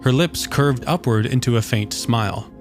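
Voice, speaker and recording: Man, story narrator, scripted fiction reading, mid-range pitch, soft guitar behind. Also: normal speed. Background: soft guitar music.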